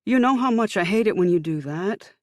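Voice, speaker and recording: A man voicing a warm, nagging middle-aged mother in a raised, lilting voice while narrating a story. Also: expressive, brisk, dry recording, clean studio sound. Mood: lecturing. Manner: high, lilting, motherly lecturing tone.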